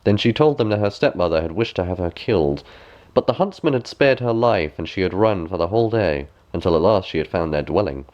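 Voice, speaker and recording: A man reading a bedtime fairy tale aloud as an expressive storybook narrator. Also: deep voice, brisk, muffled recording.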